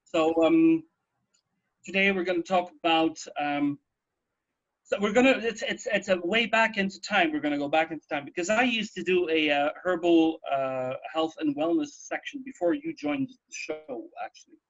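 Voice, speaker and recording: A man's voice: on the high side, animated, normal speed, fairly bright tone. A man co-hosting a radio show from home in a casual, chatty, explanatory way, often checking in with a "right" or "yeah". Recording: dull, lo-fi home recording.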